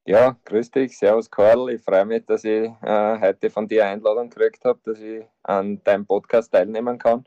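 A man in his fifties with an Austrian accent, a football coach talking as a podcast guest, halting with many fillers and restarts. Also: fairly low pitch, steady tone, no echo. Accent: Austrian German. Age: 50s. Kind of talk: casual interview talk. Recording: dull lo-fi sound.

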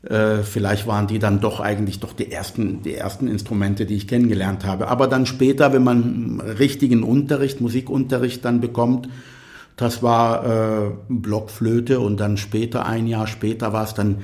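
A middle-aged man from Israel whose native language is Arabic, speaking as a podcast guest in a reflective, halting way as he searches for words. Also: lowish voice, steady tone, darkish tone. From Israel, near Tel Aviv. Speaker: interview guest, composer.

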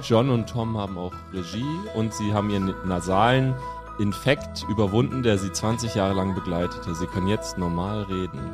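Casting: male comedy narrator, lowish voice, mock-solemn storyteller, jingle-bell music behind. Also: moderate pace. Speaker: narrator, comedy podcast host. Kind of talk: improvised storytelling comedy audio play. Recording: music under the voice. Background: music with jingle bells.

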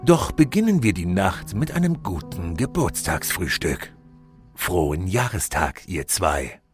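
A man's voice: low voice, sing-song. A man playing an omniscient, solemn and wryly ominous narrator of a spooky fairy-tale audio drama. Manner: theatrical, deliberate, tongue-in-cheek. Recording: music under the voice. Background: music.

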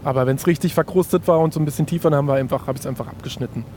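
A man's voice: very fast, warm tone. A man chatting casually as a conversation partner on a podcast. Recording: faint rumble of outdoor city traffic. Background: faint rumble, vehicles.

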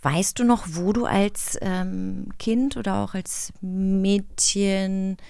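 A woman's voice: mid-range voice, steady tone, slow, vocal fry, mellow, clean tone, dry recording. A woman speaking as an interview host, in casual, informal studio conversation. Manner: informal, chatty. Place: recording studio.

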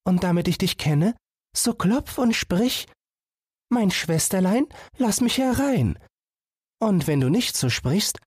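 Storybook little sister, caring but anxious girl, very high, tender voice done by a male reader, dry sound. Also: lively intonation, slow, a little mellow, breathy edge, no echo, exaggerated voice. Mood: anxious admonishing. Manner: tender, admonishing.

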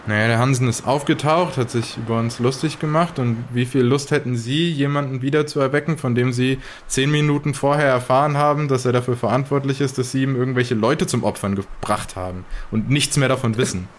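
Male survivor in an improvised horror audio drama, acted by a man: average pitch, sardonic and rattled, fragmented delivery, slightly noisy recording. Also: steady tone, fairly quick, few pauses, close to an everyday voice. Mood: wry, bitter.

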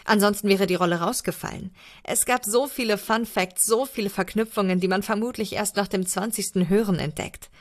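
A woman's voice: medium pitch, fairly quick. A woman reading an email aloud as a guest reader.

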